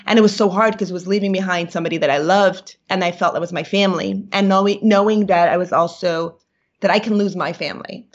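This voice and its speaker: A woman's voice: on the low side, steady tone, fast. Reflective woman, dull lo-fi sound. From New York. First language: Yiddish. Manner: reflective, searching for words, many fillers. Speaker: guest. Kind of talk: personal interview. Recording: dull lo-fi recording.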